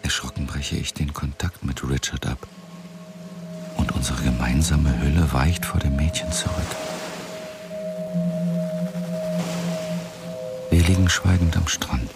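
An actor playing a brooding Mars colonist who narrates his drug trip in an intimate, deliberate inner monologue for a radio drama. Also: a bass voice, steady tone, vocal fry. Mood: shaken, subdued. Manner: slow, deliberate, intimate first-person telling. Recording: loud music behind the voice. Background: loud music.

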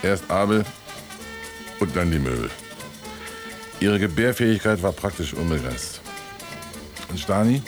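A man's voice: low voice, expressive, unhurried, long pauses, music in the background. A man playing a sardonic, insistent old friend in a radio drama dialogue. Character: nagging, sardonic male old friend of the narrator.

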